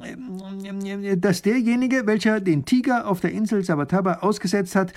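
A man's voice: sits high, pitched above the performer's own voice, lightly acted. A man voicing a small, hot-tempered male grand duke who gives orders imperiously. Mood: indignant. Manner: imperious, quick to anger.

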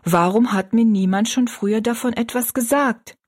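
A woman's voice: on the high side, moderate pace, pitched above the performer's own voice, close to an everyday voice. A woman voicing a poor, simple young kitchen maid who speaks plainly and wonders at what she hears. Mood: wondering. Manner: simple, amazed, plain-spoken.

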